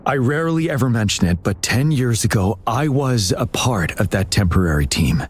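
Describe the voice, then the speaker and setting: A man playing a calm, solemn young general in a video game, speaking in a measured, grave, formal way. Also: medium pitch, breathy edge. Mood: grave, composed. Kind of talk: scripted video game dialogue.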